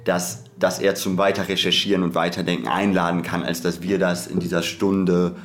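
This is a podcast co-host with a fairly low-pitched voice. The delivery is conversational and reflective, in an academic register. Sentences branch out, break off and get reworded as the thought develops. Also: little pitch movement, moderate pace, slight background noise. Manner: discursive, hesitant, self-interrupting academic talk.

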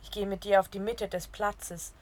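A woman's voice: medium pitch, fairly even intonation, normal speed. A woman narrating a scripted audio story in the present tense, reading out the dialogue herself.

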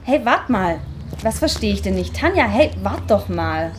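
A woman's voice: mid-range voice, very animated, normal speed, lightly acted. A woman playing a confused, insistent young woman in an amateur radio play, with some noise on the recording. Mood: calling out eagerly.

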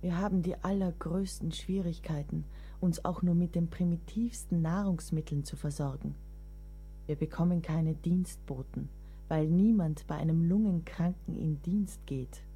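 A woman's voice: lowish voice, moderate pace, mellow, breathy edge. A woman acting a devoted, burdened writer caring for her ailing lover in a German radio play, grave and literary in delivery. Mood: troubled. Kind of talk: German audio drama acting, literary monologue. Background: music.

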